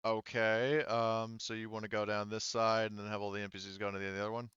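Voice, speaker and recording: A man game-mastering a tabletop roleplaying game in casual talk, halting as he thinks aloud. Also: fairly low pitch, level tone, muffled recording, a crowd in the background.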